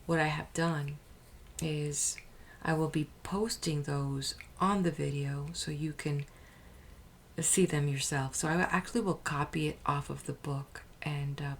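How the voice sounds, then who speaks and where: A woman hosting her own channel, talking casually and thoughtfully, with hesitations, restarts and soft near-whispered phrases. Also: sits low, steady tone, measured pace, a little hiss or hum. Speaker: audiobook channel host. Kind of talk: casual spoken introduction.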